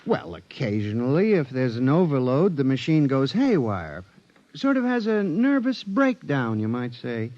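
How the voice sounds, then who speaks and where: A man's voice: medium pitch, very animated, normal speed, a little mellow, no echo, close to an everyday voice. An actor playing a nervous male statistical clerk who narrates a 1950s radio drama hesitantly. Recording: dull old radio broadcast with faint music.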